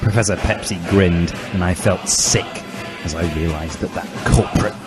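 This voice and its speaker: A man with a lowish voice reads a comic story as dramatic narration. He gives it solemn, overwrought emphasis, and music plays clearly behind him. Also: moderate pace. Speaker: narrator, reader.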